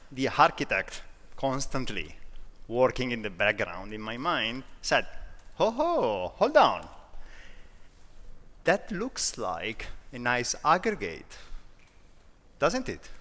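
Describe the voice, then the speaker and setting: A man from Italy with an Italian accent, giving a conference talk in a self-deprecating, lively way with frequent restarts. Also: mid-range voice, slow, pauses often, in a large hall. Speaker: conference speaker. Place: large conference hall.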